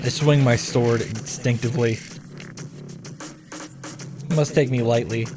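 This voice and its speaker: A man's voice: medium pitch, steady tone, unhurried, lightly acted, poor audio. A man voicing a desperate teenage boy fighter in a dramatic visual novel reading. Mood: tense. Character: desperate teenage boy fighter narrating his near death. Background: background music.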